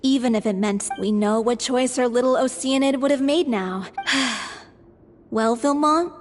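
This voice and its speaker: A woman's voice: a little high, measured pace, soft background music, close to an everyday voice. A woman playing an earnest, polite young stage performer in a video game, speaking with sincerity. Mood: solemn.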